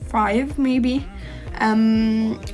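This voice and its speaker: A teenage girl from Norway talks in English with a Norwegian accent, her voice pitched a little high. She rambles casually and hesitantly, full of ums. Faint music plays underneath. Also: steady tone, extremely slow, darkish tone. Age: teens. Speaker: art channel host. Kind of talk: casual chat to camera.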